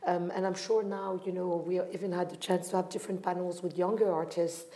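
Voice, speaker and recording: A woman's voice: on the low side, level tone, a little fast, warm tone. A woman from Morocco speaking reflectively as a founder taking part in a panel discussion. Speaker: art fair founder on a panel.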